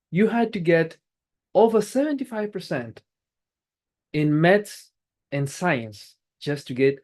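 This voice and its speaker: Lively motivational speaker. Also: medium pitch. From Angola. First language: Portuguese. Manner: emphatic, repeats key phrases, restarts words. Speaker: club speech-giver. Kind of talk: prepared motivational speech.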